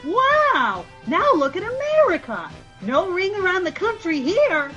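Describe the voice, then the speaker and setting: Casting: woman for chirpy spoof-commercial housewife, very animated pitch, bright tone, faint music. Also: a little slow, muffled recording, heavily acted. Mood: gushing. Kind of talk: parody testimonial.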